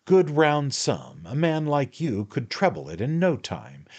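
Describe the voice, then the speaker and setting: A man doing a fussy little storybook attorney who wheedles and flatters at every turn. The voice rides high and lively, swooping up as he coaxes. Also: unhurried, slightly rough, dull audio, exaggerated voice. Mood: coaxing. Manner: ingratiating, wheedling, repeats "my dear sir".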